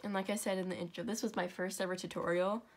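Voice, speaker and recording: A woman's voice: mid-range voice, fairly even intonation, quick pace. A young woman with a stuffy, congested nose, chatting her way through a step-by-step crafting tutorial at home, with rambling asides and self-corrections.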